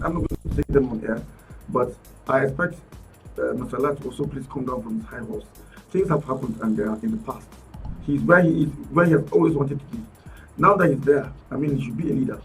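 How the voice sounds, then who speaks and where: A male guest on a radio panel, talking forcefully in a lecturing way. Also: fairly high pitch. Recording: distant mic, faint music behind.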